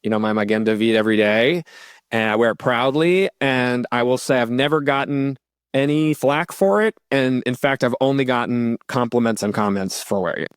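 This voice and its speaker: A man's voice: mid-range voice, normal speed, clear, resonant tone, no room sound, clean studio sound. A man hosting a podcast, talking in a reflective way and thinking aloud with fillers. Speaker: podcast host. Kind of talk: conversational interview.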